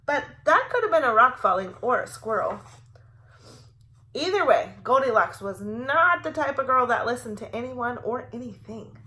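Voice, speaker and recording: A woman reading a picture book aloud to preschoolers as a teacher, with an expressive, playfully wry delivery. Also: fairly high pitch, normal speed, rough recording. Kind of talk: animated picture-book read-aloud for young children.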